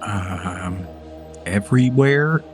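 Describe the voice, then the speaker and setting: A man's voice: lowish voice, lively intonation, very slow, soft background music. A man playing a tabletop role-playing game, chatting casually in slangy, sweary language.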